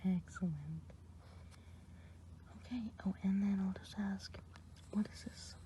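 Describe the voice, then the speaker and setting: Kindly witch played by a woman, soft mellow whisper, patient ASMR roleplay tone. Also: mid-range voice, level tone, unhurried, a little hiss or hum, lightly acted. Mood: gentle. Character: kindly good witch woman running an eye exam.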